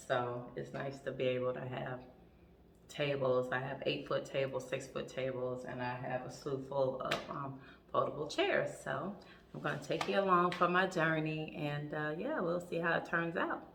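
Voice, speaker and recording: A woman talks through her vlog in a bright, gravelly voice that has gone raspy from a sore throat. She chats loosely, with plenty of ums and restarts, and a faint hiss of noise sits behind her. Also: low-pitched, measured pace, far from the mic. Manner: chatty, with frequent ums and restarts. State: throat trouble, clears throat. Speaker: vlogger. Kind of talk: casual vlog chat.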